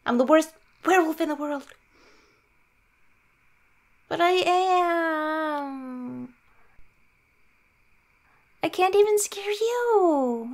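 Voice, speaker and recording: High-voiced, flustered werewolf girlfriend, played by a woman. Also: exaggerated voice. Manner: halting, pouty, self-deprecating. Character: cute, flustered, insecure young female werewolf girlfriend. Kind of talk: improvised ASMR roleplay, talking to listener.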